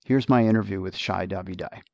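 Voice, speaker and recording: A man hosting a podcast, reading a scripted introduction in a measured, polished way. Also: low voice, gravelly, darkish tone, no echo, muffled recording. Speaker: podcast host.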